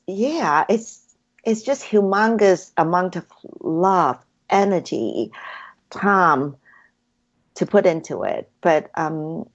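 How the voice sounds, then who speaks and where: A woman's voice: mid-range voice, dull audio. A woman whose first language is Cantonese, chatting as an interview guest in an effusive way with frequent ums. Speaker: guest, hypnotherapy practitioner. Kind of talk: casual interview chat.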